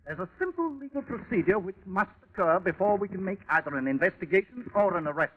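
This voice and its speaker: A man's voice: sits high, animated, fast, narrow, tinny audio. A man playing an indignant, officious police inspector with a British accent, speaking formally and stiffly in a 1940s radio drama. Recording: vintage radio recording, faint hiss.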